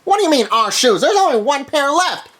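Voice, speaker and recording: A woman's voice: high-pitched, very animated, brisk, a bit bright. A woman voicing a grumpy male shop clerk who answers in a dry, sarcastic, dismissive way.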